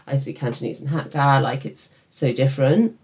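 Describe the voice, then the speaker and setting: A woman's voice: very deep voice, normal speed, a little mellow. Young British woman in casual chat. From England. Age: young adult. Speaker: podcast co-host. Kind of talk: casual conversational podcast chat.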